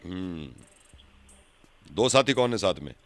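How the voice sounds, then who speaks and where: A man's voice: deep voice, lively intonation, slow, a bit bright, no echo, exaggerated voice. A man on a prank call playing a stern police verification officer, curt and repetitive as he questions and stalls. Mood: stalling, curt. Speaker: prank caller, radio show host. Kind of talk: prank phone call in character.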